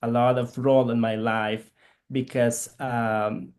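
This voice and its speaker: A man from Guatemala with a Guatemalan Spanish accent, whose first language is K'iche', speaking haltingly as an interview guest and searching for words. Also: medium pitch, flat delivery, darkish tone. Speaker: guest, physical therapist missionary. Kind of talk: conversational interview.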